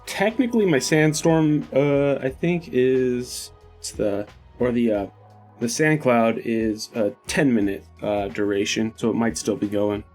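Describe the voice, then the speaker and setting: A man playing a tabletop game, talking casually and haltingly as he thinks aloud. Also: medium pitch, a little mellow, soft background music.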